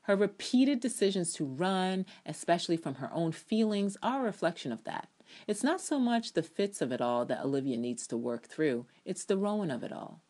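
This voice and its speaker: A woman's voice: fairly low pitch, animated, normal speed, a little mellow. A woman giving a dramatic, emphatic reading of her own opinionated TV review. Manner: emphatic, opinionated, acts out quotations. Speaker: TV show reviewer.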